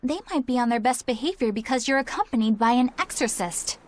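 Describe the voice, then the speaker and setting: A woman's voice: a little high, animated, fairly quick, no echo. A woman voicing an earnest, righteous young female exorcist in a video game, formal and sincere. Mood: solemn, sincere.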